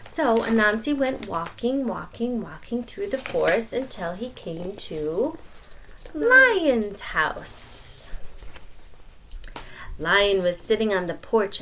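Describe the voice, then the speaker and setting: A woman's voice: fairly low pitch, a bit bright, slight background noise. A woman reading a storybook aloud to children, explaining as she goes and repeating words for emphasis. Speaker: storybook reader. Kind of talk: expressive read-aloud to children.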